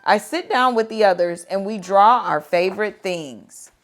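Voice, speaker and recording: A woman reads a picture book aloud as a teacher would to young children. Her delivery is slow and deliberate, with dramatic expression and a medium pitch. Faint music plays behind her.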